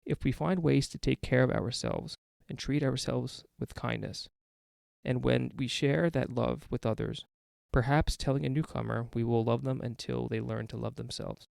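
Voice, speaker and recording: Male reader for daily recovery meditations, heavy vocal fry, mid-pitched, close dry sound. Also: steady tone, normal speed, a little mellow, no echo. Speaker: guest reader of a recovery podcast. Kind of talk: read-aloud daily meditation.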